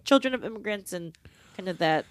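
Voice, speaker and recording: A woman's voice: mid-range voice, animated, brisk, no room sound, studio quality. A woman co-hosting a casual film review chat, enthusiastic and chatty, often saying like. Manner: enthusiastic, chatty, many fillers of like. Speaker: film podcast co-host.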